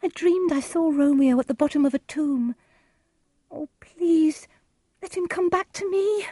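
A very high, emotional young girl voiced by a woman narrator from a storybook. She pleads dramatically and defiantly, with big swings of pitch in a dry, close sound. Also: expressive, moderate pace, a little mellow, no room sound. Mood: fearful, sad.

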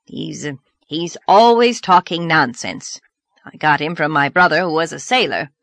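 A woman's voice: sits low, expressive, normal speed, fairly bright tone, dry recording. A woman voicing a nervous, sheepish middle-aged male farmer who stammers and hurries to apologize.

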